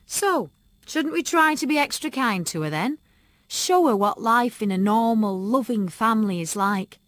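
A woman voicing a kind, easygoing middle-aged father who speaks gently and reasonably. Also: on the high side, animated, moderate pace, no room sound, clean studio sound, voice pushed up. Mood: reasonable.

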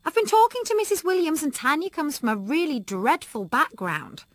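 A woman's voice: high voice, very animated, normal speed, no room sound, clean studio sound, pitched above the performer's own voice. A woman voicing a fretful, controlling middle-aged mother with a wheedling manner.

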